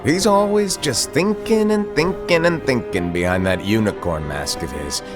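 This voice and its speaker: A man's voice: medium pitch, normal speed, music in the background, natural voice. An actor playing a flippant, cryptic master mentoring his apprentices in a game cutscene, with a playful, theatrical delivery. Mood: playful, instructive. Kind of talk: animated game cutscene acting.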